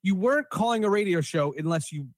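Podcast host, slightly higher-pitched man. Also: expressive, fast talker, no echo, studio quality. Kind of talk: casual podcast chat.

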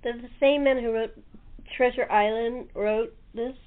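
A chatty woman hosts a relaxed conversational interview in a slightly high voice. She sounds as if she is on a phone line, thin and narrow in tone. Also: a little slow. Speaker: talk show host. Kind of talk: casual chat interview.

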